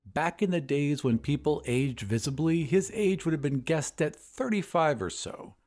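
A man's voice: fairly high pitch, expressive, normal speed, a little mellow. A man hosting a storytelling podcast, reading scripted narration. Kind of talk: scripted audiobook-style narration.

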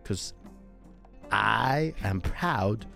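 Man putting on a slow deadpan Norwegian outlaw. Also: lowish voice, creaky, soft background music, heavily acted. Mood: proud, deadpan. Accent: mock Norwegian. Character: proud, grim Norwegian man living in medieval Nottingham.

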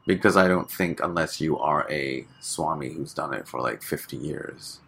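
Deep husky man, chatty and bright. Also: normal speed. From Hong Kong. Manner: relaxed, chatty, laughs often, many fillers. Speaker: guest. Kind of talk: casual interview chat.

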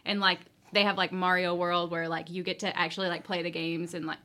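A woman's voice: on the low side, flat delivery, fairly quick, sharp tone. A woman co-hosting a podcast, gushing with enthusiasm and filling her talk with "like". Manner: gushing, enthusiastic, heavy "like" fillers. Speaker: podcast co-host. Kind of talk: casual podcast chat.

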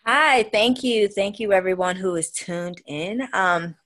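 A young woman speaking as an academic guest, explaining in halting, measured phrases with frequent pauses. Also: mid-range voice, a bit bright. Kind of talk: explanatory interview talk.

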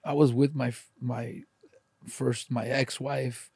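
A man's voice: mid-range voice, little pitch movement, slow pace, vocal fry, darkish tone, slightly rough, no echo, studio quality. A middle-aged man in casual, confessional conversation, speaking candidly and emphatically, swearing and peppering his talk with "man" and "dude.". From born in Ukraine, emigrated at age four.

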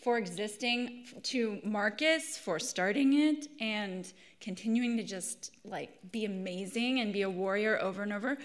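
A woman's voice: medium pitch, a little slow, hardly any pauses. Woman, thirties, scratchy voice, earnest, fillers and restarts, live reading. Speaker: writer reading her essay at a public event. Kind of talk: live reading with spoken introduction. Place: arts center event hall.

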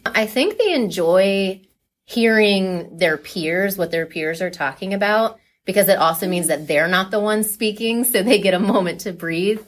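A woman talking casually and enthusiastically as a coach being interviewed, chatty and quick to laugh. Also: mid-range voice.

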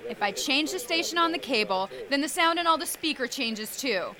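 A woman's voice: high-pitched, expressive, moderate pace, a crowd in the background. A woman playing a curt, unyielding bar waitress in an audio drama, firm and dismissive.

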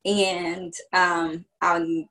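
Chatty woman, slightly low voice. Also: level tone, drawn-out words, bright, forceful tone, low-quality recording. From Virginia. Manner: chatty, many fillers, explains step by step. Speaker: business founder guest. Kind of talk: casual podcast interview.

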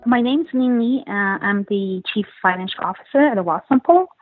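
A woman's voice: medium pitch, expressive, moderate pace, slightly rough, no room sound, soft background music. A woman from northeast China, an English speaker, answering interview questions in a hesitant way with many fillers and restarts. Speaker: guest, company finance chief. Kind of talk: podcast interview, casual chat. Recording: dry tinny phone-like line.

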